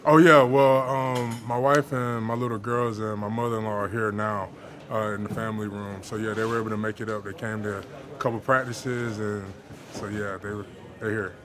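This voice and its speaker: A man's voice: on the low side, moderate pace. Young guy talking to reporters in the locker room, easygoing and loose, lots of chatter around. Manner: relaxed, laid-back, with filler words and light laughs. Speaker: football player. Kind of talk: post-game locker room interview. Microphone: handheld reporter microphones. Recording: busy locker room crowd noise.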